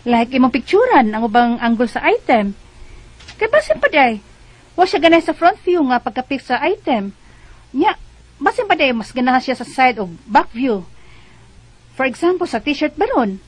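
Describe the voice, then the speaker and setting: An actress playing a determined young single mother in a Bisaya radio drama, earnest and expressive, with a Cebuano accent. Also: sits high, normal speed, breathy edge, full character voice, low-quality recording. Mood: earnest, encouraging. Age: young adult.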